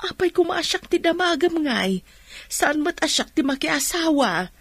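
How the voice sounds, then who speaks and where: A woman with an Ilocano accent acting in a radio drama as a worried, caring mother, with a husky voice. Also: high voice, big pitch swings, a little slow, no echo, full character voice. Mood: probing.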